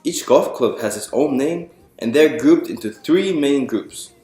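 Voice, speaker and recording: A man's voice: mid-range voice, expressive, a little slow, mellow, soft background music. Man reading storytime in an echoey room. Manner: explanatory, presentational, addressing listeners with questions. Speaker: author reading own picture book to an audience. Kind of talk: storytime read-aloud to an audience.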